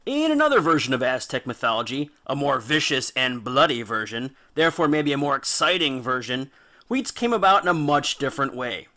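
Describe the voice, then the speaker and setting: Bright, forceful man narrating with drama. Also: mid-range voice, very animated, brisk. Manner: dramatic, jokey, slangy emphasis. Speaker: narrator and host of a mythology video series. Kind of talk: scripted narration, informal educational storytelling.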